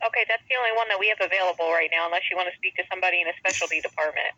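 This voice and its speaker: A woman's voice: on the low side, rapid-fire, sharp tone, no room sound. A woman police dispatcher handling a call patiently and accommodatingly, heard over a dull telephone line. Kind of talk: unscripted phone call handling.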